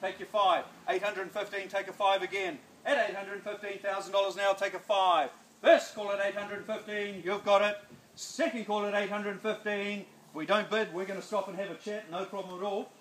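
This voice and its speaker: A middle-aged New Zealand man works a backyard auction, his fairly high voice chanting bids in brisk repeats and coaxing buyers along. He is picked up by a phone camera at a distance outdoors, with an echoey ring and a faint hiss of noise behind him. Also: level tone, a bit bright. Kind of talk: rapid auction calling.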